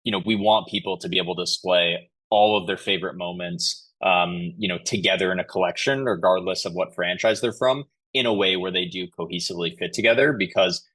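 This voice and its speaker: A man's voice: sits low, brisk, few pauses, fairly bright tone. A man answering interview questions in a conversational, explanatory way, often saying "you know". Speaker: podcast guest, company chief executive.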